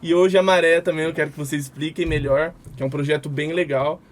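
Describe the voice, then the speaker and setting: A man's voice: fairly high pitch, steady tone, quick pace, slight background noise. A man co-hosting a podcast, upbeat and eager, using casual slang as he welcomes guests. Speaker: podcast co-host, marketing analyst.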